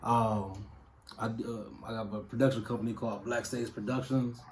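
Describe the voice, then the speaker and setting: A man's voice: lowish voice, moderate pace, a little hiss or hum. Man, thirties, from Ohio, music producer, easygoing chatty answers, slangy. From Toledo, Ohio. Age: 30s. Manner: relaxed, loose talk with fillers and slang. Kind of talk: casual interview answers.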